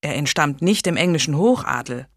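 A woman voicing a gentle, pious king who speaks calmly and deliberately, in a storybook reading. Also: low voice, dry recording, studio quality. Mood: measured. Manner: calm, measured.

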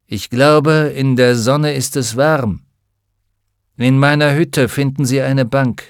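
A man reading an audiobook, voicing a gaunt older male shaman and scientist who speaks in a calm, measured and hospitable way. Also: medium pitch, clean tone, dry recording, clean studio sound. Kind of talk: audiobook character voice.

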